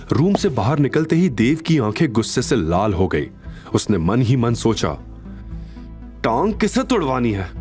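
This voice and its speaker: A man tells a dramatic scripted story with very animated intonation. His delivery is theatrical and suspenseful, with music underneath. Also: on the low side. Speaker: audio drama narrator.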